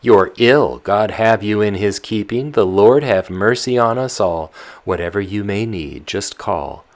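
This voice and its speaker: A man's voice: fairly low pitch, expressive, unhurried, pitched above the performer's own voice. A man voicing a fussy, devout old peasant nurse woman who exclaims fretfully.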